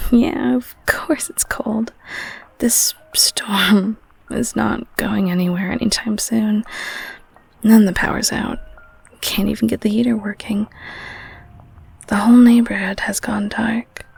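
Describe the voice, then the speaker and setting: A woman voicing a loving, clingy, protective girlfriend in an intimate ASMR roleplay, speaking in a soft whispery voice with tender, gentle cooing. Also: mid-range voice, steady tone, takes it slowly, vocal fry, breathy edge, exaggerated voice. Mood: soothing, protective. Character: loving clingy girlfriend, secretly eldritch being, soothing and protective. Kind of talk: ASMR audio roleplay, intimate one-sided scene.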